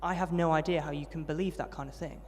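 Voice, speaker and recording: A young man recounts his upbringing at a brisk pace and a slightly raised pitch. He is friendly and self-deprecating, with plenty of ums. His voice rings with the long reverb of a large hall. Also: steady tone, slight background noise. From Yorkshire, England. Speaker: interviewee, trainee vicar. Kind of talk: testimony interview at a student event.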